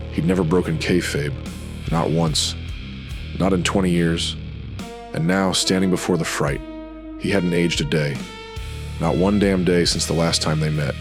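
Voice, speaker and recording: A man narrating scripted supernatural noir in brooding, clipped dramatic phrases. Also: sits low.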